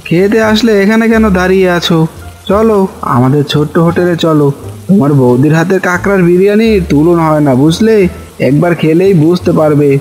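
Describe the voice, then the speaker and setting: A man's voice: high-pitched, a little fast, a little mellow, faint music behind, close to an everyday voice. A man voicing a cheerful, plain-spoken village husband in a cartoon fairy tale. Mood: inviting.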